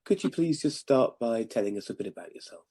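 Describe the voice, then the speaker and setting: A man hosting an interview, asking polite questions and often trailing off softly at the ends of sentences. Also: medium pitch, expressive, a little fast, husky. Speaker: interview host. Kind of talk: podcast interview.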